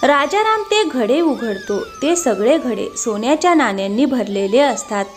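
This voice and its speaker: A woman's voice: high voice, very animated, measured pace, a little hiss or hum. A woman storyteller reading scripted narration for a moral tale.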